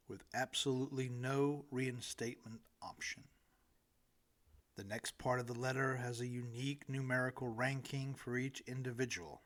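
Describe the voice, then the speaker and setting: A man reading his own humorous book aloud as an audiobook, with deliberate, dramatic phrasing and pauses between phrases. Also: mid-range voice. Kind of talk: audiobook narration of humorous essay.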